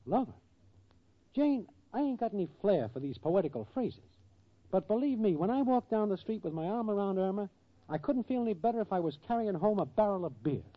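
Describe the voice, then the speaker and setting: Breezy, slangy schemer with animated delivery. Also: male voice, sits high, fairly quick. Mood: glib, defensive. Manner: slangy, glib. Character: shiftless streetwise schemer boyfriend, breezy and evasive. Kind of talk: 1940s radio sitcom acting. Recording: dull vintage 1940s radio recording.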